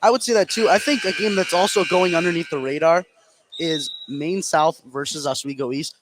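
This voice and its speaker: A man's voice: on the high side, fairly even intonation, moderate pace, noisy background. A man working as a color commentator on a basketball broadcast, giving his opinions in an informal, conversational way. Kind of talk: conversational sports analysis.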